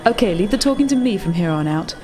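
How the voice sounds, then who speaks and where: Brisk commanding undercover rebel played by a woman, music behind. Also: mid-range voice, expressive, natural voice. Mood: guarded, instructing. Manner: terse, commanding. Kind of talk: in-character tabletop roleplay.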